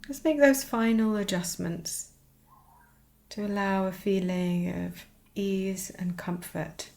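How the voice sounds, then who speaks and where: A woman teaching a guided meditation, speaking soothingly in short, unhurried phrases with pauses. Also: medium pitch, steady tone, vocal fry, a little mellow.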